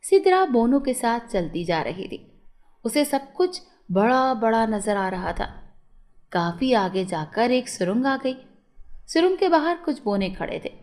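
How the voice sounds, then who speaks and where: High-pitched woman storyteller. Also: darkish tone. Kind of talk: scripted children's moral story narration.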